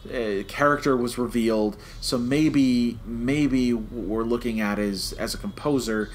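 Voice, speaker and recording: A man's voice: mid-range voice, unhurried. Emphatic man reviewing, noisy recording. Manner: emphatic, repeats phrases for stress, checks with "okay?". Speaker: podcast co-host. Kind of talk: opinionated review discussion.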